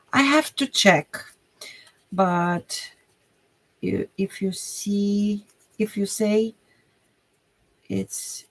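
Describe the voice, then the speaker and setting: A woman with a Hungarian accent talks to her live viewers while she works on crafts. Her voice has a gravelly, creaky edge, and she speaks very slowly. She thinks aloud in halting bits and often trails off. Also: mid-range voice, poor audio. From Romania. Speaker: crafter streaming a journaling session. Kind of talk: casual live-stream chat while crafting.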